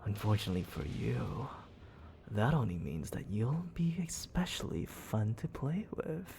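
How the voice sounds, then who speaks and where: A man voicing a menacing, teasing demon lord in an ASMR roleplay, breathy and often dropping to a whisper, condescending and coaxing toward a captive. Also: on the low side, mellow, slight background noise, exaggerated voice. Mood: smug, taunting. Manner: slow, taunting, condescending, coaxing.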